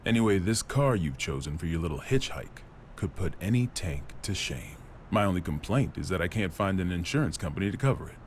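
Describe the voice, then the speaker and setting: An actor playing a breezy, boastful American president in an anime game, showy and bantering, over vehicle noise. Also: male voice, deep voice, lively intonation, fairly quick. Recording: clear vehicle noise behind.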